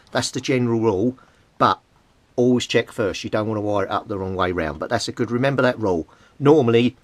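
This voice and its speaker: A man's voice: fairly low pitch, dry recording. A middle-aged British man giving an informal, plain-spoken hands-on demonstration as a hobbyist. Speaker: CB radio hobbyist presenting a tutorial. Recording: clearly noisy amateur home recording.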